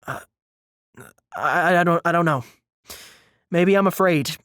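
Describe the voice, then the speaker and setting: A man's voice: a little high, unhurried, a bit bright, clear, resonant tone, no echo, studio quality. A man playing a self-doubting male college student in audiobook dialogue, speaking in a hesitant, faltering, defensive way. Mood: anxious, defensive. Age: young adult.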